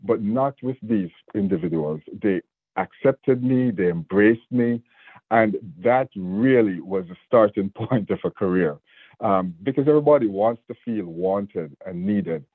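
Deep-voiced Jamaican man on radio. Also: lively intonation, husky, dry recording. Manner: earnest, measured, with restarts. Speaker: state division director. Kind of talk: radio interview. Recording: dry, phone-like radio line.

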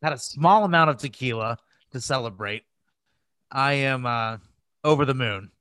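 A man's voice: mid-range voice, moderate pace, fairly bright tone, clear, resonant tone, no echo. A man co-hosts a casual podcast in a close, dry recording. He talks in loose, bantering chat and swears freely. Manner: profane, bantering. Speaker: podcast co-host.

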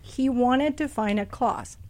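A woman's voice: a little high, slow pace, darkish tone. A woman radio host chatting casually, telling stories with enthusiasm.

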